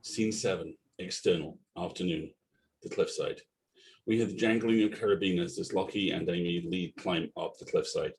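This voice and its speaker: A man with a low voice reads the stage directions at a table read. His delivery is scripted narration, setting out the scenes of an audio drama. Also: fairly even intonation, moderate pace, darkish tone, rough recording.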